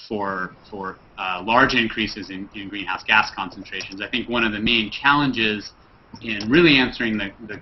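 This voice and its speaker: Male scientist guest, low voice, measured and halting, dull video-call sound. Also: a little hiss or hum. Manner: measured, frequent uh fillers. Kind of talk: explanatory video call answers.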